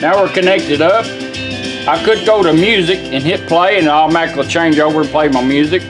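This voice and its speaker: A man's voice: on the high side, fairly quick, music in the background. A man giving a casual, hands-on product demonstration, explaining controls step by step in a folksy, informal way. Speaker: product demonstrator, radio builder.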